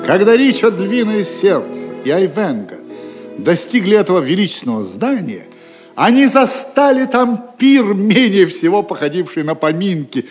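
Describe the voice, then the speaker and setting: A man narrating an audio play in an expressive, solemn storytelling style. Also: high-pitched, normal speed. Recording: music under the voice. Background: music.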